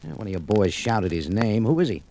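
An actor playing a tough, wry American cafe owner in a radio adventure, with clipped, sardonic, hard-boiled delivery. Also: male voice, fairly low pitch, very animated, a little fast, warm tone. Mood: wry, guarded. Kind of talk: 1940s radio noir acting with first-person narration. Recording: noisy old radio recording with clicks.

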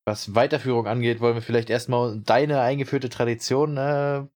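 A man's voice: mid-range voice, little pitch movement, moderate pace, a little mellow. A young man from Brandenburg co-hosting a podcast, chatting casually and rambling with many fillers. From Brandenburg, Germany. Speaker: podcast co-host.

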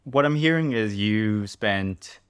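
Deep-voiced male podcast host. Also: animated, slow, clean tone, no echo, clean studio sound. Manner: asks rambling, searching questions. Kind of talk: casual interview.

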